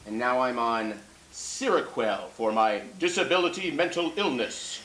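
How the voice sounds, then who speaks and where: Casting: male actor for stubborn, troubled childlike man in a stage play, very animated intonation, halting delivery, roomy sound. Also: mid-range voice. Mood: defiant, wheedling. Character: troubled mentally ill man, childlike, stubborn, pleading with his guardian. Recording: roomy echo with faint background noise.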